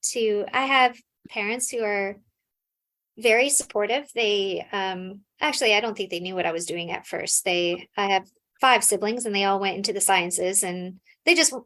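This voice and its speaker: A woman's voice: medium pitch, moderate pace, bright, forceful tone. A woman from the United States, a scholar guest in an interview, explaining thoughtfully with frequent fillers and restarts. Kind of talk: casual interview answers.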